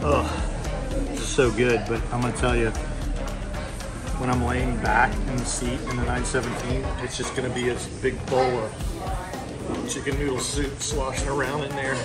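A man's voice: medium pitch, flat delivery, unhurried, long pauses, loud music behind the voice. A man in his forties, hosting a car show, joking and wisecracking while he drives a small kit car. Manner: wisecracking, playful. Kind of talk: casual joking chat while driving. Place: inside a cramped kit car cabin.

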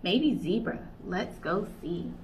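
A woman's voice: fairly low pitch, expressive, slow, darkish tone. A young adult woman, a children's librarian, reading a picture book aloud to kids in a playful sing-song way, from a small indoor room. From United States. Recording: slightly distant, dull, faint hiss.

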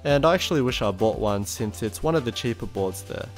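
Young aussie guy doing a relaxed voice-over, mid pitch, bit of music behind. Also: fairly quick. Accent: Australian. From Australia. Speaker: vlogger. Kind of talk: voice-over narration.

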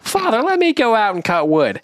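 A man doing a high, eager simpleton son. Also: wildly swooping pitch, moderate pace, no echo, studio quality. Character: simple, kind youngest son, a young man.

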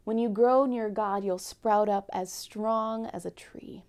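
A young woman giving a gentle Lent reflection at home and reading a picture book aloud, speaking softly and often near a whisper into a lapel mic. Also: mid-range voice, fairly even intonation, takes it slowly. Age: young adult. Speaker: church speaker. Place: home living room, indoors.

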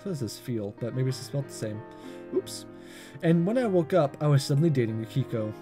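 A man reading a visual novel aloud as a gaming host, expressive but amateur, stumbling and rereading lines. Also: medium pitch, a little fast, over music.

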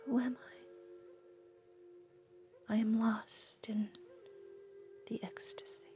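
A woman recites her own poem in a breathy, often whispery voice, with deliberate, reverent phrasing and pauses. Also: a little high, steady tone, mellow, soft background music. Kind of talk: slow contemplative poetry recitation.

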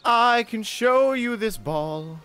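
A man's voice: very high-pitched, animated, slow, a bit bright, clean tone. A man in his thirties chatting casually and crudely as he plays a game on a stream, joking and swearing. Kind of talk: casual gameplay banter. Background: game music.

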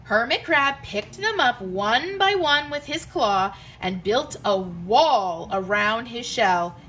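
A woman teacher reading a children's picture book aloud, slowly and gently, giving the quotes their own voices. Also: on the high side, animated, a little hiss or hum. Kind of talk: children's storybook read-aloud. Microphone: camera above the book, hands seen turning pages.

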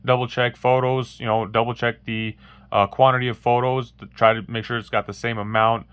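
A man's voice: mid-range voice, little pitch movement, fairly quick, fairly bright tone, clean tone, no echo. A man hosting a casual phone tutorial, rambling through steps with many fillers and restarts while showing a phone on a table. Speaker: tech tutorial host. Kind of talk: casual how-to walkthrough. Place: indoors at a wooden table, voice-over of hands-only phone demo.

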